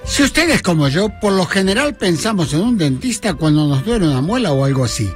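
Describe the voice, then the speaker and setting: A man hosting a language community radio show, reading ads and introducing a guest in a friendly, halting way with many fillers. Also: high-pitched, sing-song, over loud music. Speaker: radio host. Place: studio.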